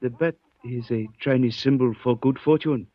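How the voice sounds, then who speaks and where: Man acting a measured, cryptic Chinese shopkeeper, old radio. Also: medium pitch, no room sound, natural voice. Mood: polite, firm. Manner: formal, measured, philosophical. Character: calm, cryptic, courteous Chinese antique shopkeeper. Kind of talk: 1940s radio drama acting. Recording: dull old 1940s radio recording.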